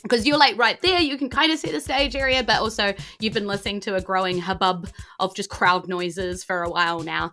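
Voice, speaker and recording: Bright-voiced New woman game master. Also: medium pitch, a little fast, soft background music. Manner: chatty, conversational, acts out reactions. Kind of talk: casual narration of a tabletop game.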